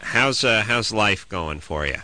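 Deep, blunt wisecracking radio co-host man. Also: expressive, measured pace, sharp tone. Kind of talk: call-in radio banter.

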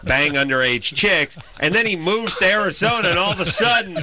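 A man's voice: high-pitched, a little fast, bright, forceful tone. A man hosting a call-in radio show, joking and riffing in casual banter with many restarts.

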